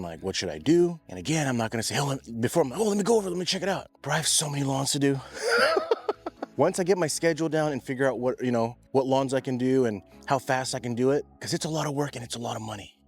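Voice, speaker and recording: A young man talks to the camera out in his yard, relaxed and chatty. His voice sits at an ordinary pitch, and he drops in slang like bro and dude. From Pacific Northwest. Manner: laid-back, chatty, slangy, says bro and dude a lot. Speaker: lawn care vlogger. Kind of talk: casual vlog talk to camera. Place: outdoors in a yard.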